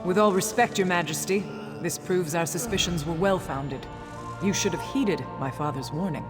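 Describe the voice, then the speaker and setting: An actor playing an earnest, deferential but insistent dishonored warrior in a video game, speaking formally and with urgency. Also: male voice, high voice, moderate pace, natural voice. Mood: respectful, reproachful. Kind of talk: scripted video game dialogue. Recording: long hall reverb, music behind.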